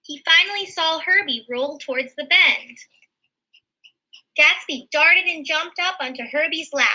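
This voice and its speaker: A woman's voice: sits high, measured pace, bright, forceful tone, low-quality recording. A woman reading a children's picture book aloud expressively, adding simple explanations as a teacher would. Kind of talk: animated children's read-aloud with asides.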